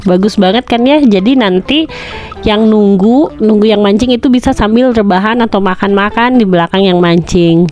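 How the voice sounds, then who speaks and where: Cheerful female vlogger, 30s, chatty phone-filmed tour narration, soft music bed. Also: mid-range voice, moderate pace, lo-fi sound. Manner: chatty, cheerful, excited cheers when fish are caught. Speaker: family vlogger. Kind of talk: casual tour narration to viewers. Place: outdoor fishing pond cafe.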